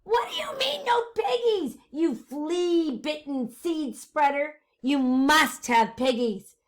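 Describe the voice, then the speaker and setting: A woman's voice: high voice, animated, takes it slowly, pitched above the performer's own voice. A woman voicing a cranky, hungry, scheming storybook witch with a screechy, demanding manner. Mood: suspicious, furious.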